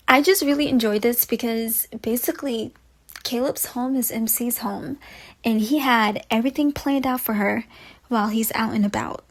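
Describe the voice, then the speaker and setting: A woman hosting a casual fan commentary video, speaking in an expressive, gushing way. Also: high voice. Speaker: video host reviewing game phone calls.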